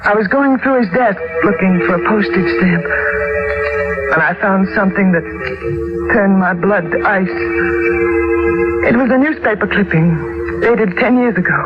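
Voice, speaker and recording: An actress playing an anxious, haunted wife in 1940s radio mystery style, with a trembling, halting, melodramatic delivery. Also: female voice, medium pitch, animated, natural voice. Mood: haunted, grave. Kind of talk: 1940s radio mystery acting, dramatic dialogue and narration. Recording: dull old radio recording, loud music.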